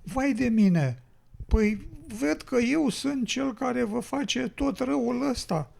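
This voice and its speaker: A man's voice: very high voice, animated, vocal fry, a little mellow, full character voice. A man playing an anxious, well-meaning road builder with a plaintive manner, in an educational radio drama. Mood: anxious, apologetic.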